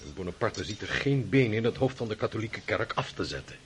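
A man's voice: lowish voice, animated, a little fast, noisy background, natural voice. An actor playing an indignant guest who declaims at a nobleman's dinner in a radio play. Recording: clatter of cutlery and dishes.

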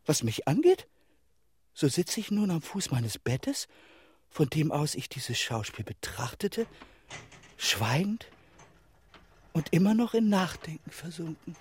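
An actor playing a brooding, awestruck narrator of a surreal vision, delivering dramatic literary radio-play narration in halting fragments. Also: male voice, fairly high pitch, big pitch swings. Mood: pensive. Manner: halting fragments, theatrical, sometimes hushed.

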